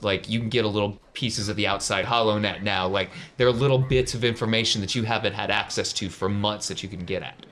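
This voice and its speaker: A man's voice: fairly low pitch, fairly bright tone. A man casually narrating an improvised tabletop game as the game master.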